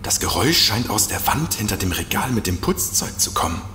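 An actor playing a nervous, reluctant investigator in an audio drama, hesitant and stammering. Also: male voice, on the low side, big pitch swings, a little fast, pushed and bright, faint music behind. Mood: curious, wary. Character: nervous, reluctant male investigator, easily spooked. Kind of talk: German audio drama acting. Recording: echoey reverberant room with background noise.

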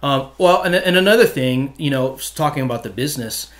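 A man's voice: mid-range voice, lively intonation, brisk. A middle-aged man from Hawaii, a photographer, answering interview questions earnestly with many restarts, in a small studio room at a desk mic. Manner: earnest, talks with his hands, many restarts. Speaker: photographer guest. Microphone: desk mic on stand.

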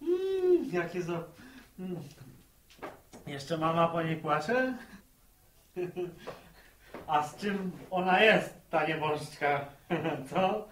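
High-voiced cheeky farm lad. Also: male voice, big pitch swings, extremely slow, long pauses, full character voice. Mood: wheedling. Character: cheeky young farm lad, playful grandson. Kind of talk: Polish radio serial acting, 1960. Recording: echoey, faintly noisy vintage radio recording.

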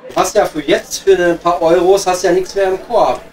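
A man's voice: sits high, steady tone, moderate pace, darkish tone, close to an everyday voice. A man playing a blunt, grumbling coal miner with a Ruhr accent in improvised pub comedy. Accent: Ruhr area German. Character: grumbling, simple working-class coal miner at his pub. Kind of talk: improvised comedy audio play, pub chat.

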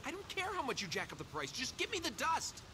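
A desperate game drug addict, slangy and aggressive. His high voice jumps about in pitch over clear rainy street noise. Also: wildly swooping pitch, fast talker, heavily acted. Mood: agitated, demanding. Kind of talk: video game cutscene acting.

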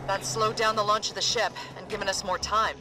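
A woman's voice: mid-range voice, steady tone, fairly quick, music in the background, lightly acted. An actress playing a level-headed female soldier tech in a sci-fi game, casual and dry. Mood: focused. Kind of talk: video game military acting.

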